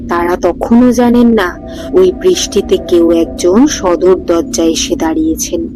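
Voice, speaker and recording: A woman narrates a ghost story as host of her storytelling channel, reading at a steady, unhurried pace. Her voice sits at an ordinary middle pitch for a woman. Music plays beneath the narration throughout. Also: rough recording. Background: background music.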